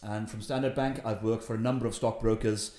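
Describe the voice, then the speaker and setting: A man in his fifties with a Welsh accent, telling his story in an interview with animated, emphatic delivery. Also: fairly low pitch, a little fast, echoey room. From Wales. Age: 50s. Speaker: investment guest. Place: room in his house.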